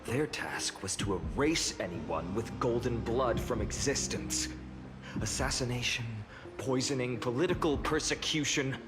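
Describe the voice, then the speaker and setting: An actor playing a noble, earnest warrior lord in a video game, firm and dramatic in delivery. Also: male voice, mid-range voice, a little slow, close to an everyday voice. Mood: grave. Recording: music behind the voice.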